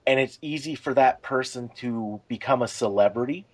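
A man's voice: medium pitch, moderate pace. A man discussing things casually as a podcast co-host.

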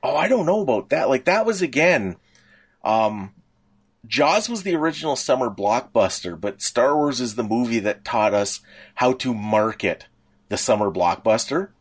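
A man's voice: mid-range voice, very animated, moderate pace, slightly rough. A man co-hosting a podcast, chatting casually and in a rambling way. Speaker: podcast co-host. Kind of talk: casual chat about pop culture.

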